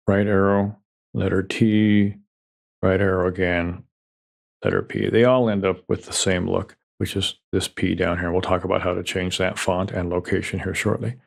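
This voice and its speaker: A man giving a calm, methodical, step-by-step software tutorial over a screen recording. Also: lowish voice, vocal fry.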